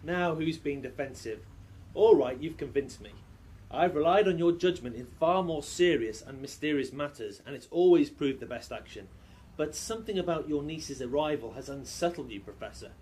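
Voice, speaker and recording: An actor playing a self-assured Victorian gentleman in a radio play, speaking formally with a dry, wry manner. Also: fairly high pitch, moderate pace, lightly acted. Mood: wry, persuasive. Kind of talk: steampunk radio play acting. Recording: clear background noise.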